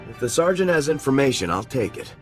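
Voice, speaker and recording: A man's voice: mid-range voice, big pitch swings, fast talker, a bit bright, soft background music. A man playing a disciplined army lieutenant who answers tersely and dutifully. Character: disciplined, tight-lipped male army lieutenant.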